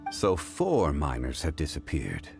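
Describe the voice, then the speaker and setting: Deep-voiced, dignified game scholar. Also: male voice, wildly swooping pitch, creaky, music in the background, exaggerated voice. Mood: calm, inquiring. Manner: measured, formal, erudite. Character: composed, learned, dignified male stone connoisseur. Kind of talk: video game voice acting.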